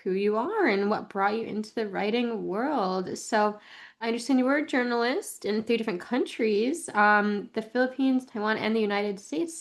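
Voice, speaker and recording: A woman speaking as a podcast host, presenting and interviewing. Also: mid-range voice. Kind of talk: scripted intro and interviewing.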